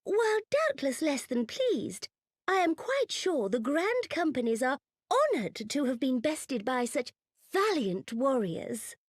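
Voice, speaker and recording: A gracious, regal dignitary from a fantasy game speaks with formal diplomatic courtesy. Her voice is high and very melodic, moving freely up and down. The sound is close and dry. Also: very animated, a little slow, dry recording. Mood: gracious approval. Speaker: voice actor in a fantasy video game. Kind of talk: cinematic game cutscene acting.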